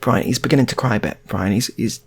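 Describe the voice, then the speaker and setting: Guy making up a story as he goes, really husky creaky voice, rambly and halting, repeats himself. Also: medium pitch. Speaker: improvising storyteller. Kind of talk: improvised casual storytelling.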